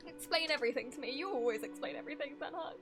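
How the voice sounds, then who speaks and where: A young woman giving casual, giggly live reactions as she streams a game, rambling in an animated way. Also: sits high, rapid-fire. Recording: loud game music behind.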